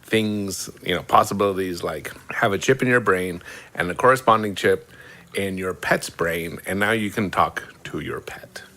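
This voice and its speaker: Low, bright-edged man explaining ideas. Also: normal speed. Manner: speculative, explaining step by step. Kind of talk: casual explanation in group chat.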